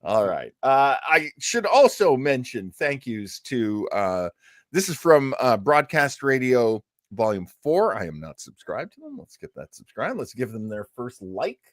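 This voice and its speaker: A man's voice: medium pitch, big pitch swings, measured pace, no room sound, clean studio sound. A man hosting a show, chatting casually and introducing old radio programs with jokey asides. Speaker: host.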